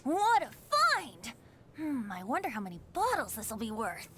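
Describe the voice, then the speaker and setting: Cheeky bard kid from a game, high and super animated, kinda slow lilting lines. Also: female voice, slight background noise, heavily acted. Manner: lilting, theatrical. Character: cheeky, merry young male bard.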